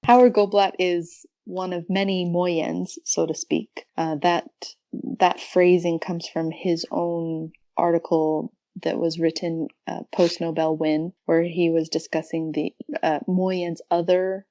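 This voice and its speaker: A woman's voice: lowish voice, level tone, slow pace, dark tone, dry recording. A woman speaking as a podcast guest and researcher, explaining things casually and thinking aloud in an informal way.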